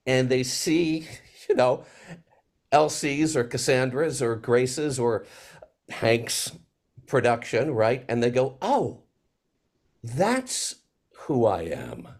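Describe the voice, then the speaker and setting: Slow-paced, earnest man speaking reflectively. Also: medium pitch, big pitch swings, creaky, darkish tone. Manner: halting, searching, earnest delivery with pauses. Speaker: theater maker. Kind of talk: reflective panel conversation.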